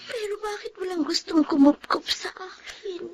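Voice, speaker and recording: A woman's voice: very high-pitched, normal speed. A woman playing a timid, humble sixteen-year-old orphan girl in a radio drama, speaking politely and pleadingly. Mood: tearful. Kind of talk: Tagalog radio drama acting.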